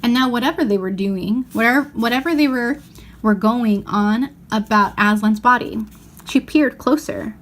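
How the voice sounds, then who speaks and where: A woman reading a children's novel aloud as an expressive storyteller. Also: a little high, moderate pace. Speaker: storybook reader.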